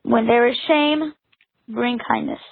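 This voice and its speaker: Over a thin phone-like recording, a woman leads a prayer in a high-pitched but husky voice. She speaks in short, deliberate phrases and leaves space between them. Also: no room sound. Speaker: congregation member leading a responsive prayer. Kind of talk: spoken prayer with pauses for response. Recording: narrow phone-like sound, dry. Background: soft guitar music.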